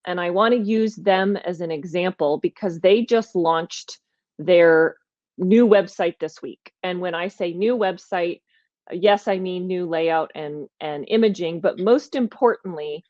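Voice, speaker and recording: A woman's voice: on the low side, unhurried, a little mellow, no echo, lo-fi sound. A woman hosting a conversational podcast, talking with energy and emphasis and thinking aloud as she goes. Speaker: podcast host.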